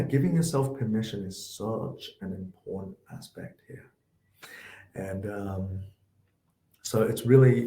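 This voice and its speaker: A man with a soft, lowish voice hosts a workshop session, talking gently and thoughtfully. His tone is warm and mellow, and the recording sounds echoey, as if made in a bare room. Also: creaky. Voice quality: soft-spoken. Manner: gentle, reflective, with fillers. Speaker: workshop host. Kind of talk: online workshop Q&A.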